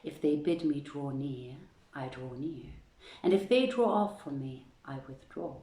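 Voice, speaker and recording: Low, slow-paced woman in an echoey room. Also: mellow. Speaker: host reading aloud at an online event. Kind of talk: informal livestream chat and storybook reading.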